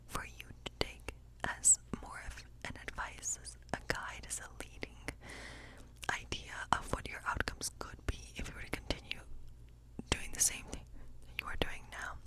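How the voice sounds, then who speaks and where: A woman playing a gentle witch in an ASMR tarot role play, speaking in a soft, breathy, unhurried whisper. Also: lightly acted, rough recording. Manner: gentle, unhurried, softly musing. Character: friendly cottage witch woman reading tarot by night.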